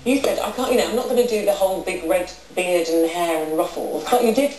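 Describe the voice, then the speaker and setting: A woman's voice: on the low side, animated, fast, a little mellow, echoey room, a little hiss or hum, poor audio. A woman co-hosting daytime TV chat, asking teasing, playful questions. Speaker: TV host. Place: TV studio.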